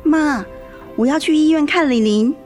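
一位女演员在广播剧中饰演十七岁、爱赌气又叛逆的姐姐。. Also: female voice, high voice, normal speed, darkish tone, faint music behind, natural voice. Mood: worried. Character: sulky, defiant seventeen-year-old girl, elder sister. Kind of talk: radio drama acting.

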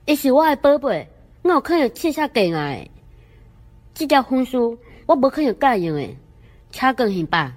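A woman with a Taiwanese accent playing a furious, possessive royal father in a student radio drama, shouting imperiously. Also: sits high, very animated, moderate pace. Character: enraged king, doting possessive father. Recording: long echoing reverb.

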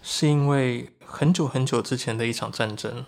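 A man performs an earnest, excitable young man of a shapeshifting tribe in an audio drama. His voice sits in a middle register. He explains things eagerly and expressively. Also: mid-range voice, normal speed, gravelly, slight background noise, lightly acted. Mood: solemn storytelling. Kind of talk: Chinese audio drama acting.

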